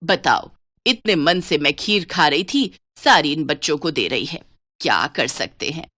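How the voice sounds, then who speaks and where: A woman's voice: low-pitched, expressive, measured pace, pushed and bright, no echo, voice pushed down, exaggerated voice. A woman voicing a greedy, grumpy old village grandmother who scolds and complains. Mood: grumbling, irritated.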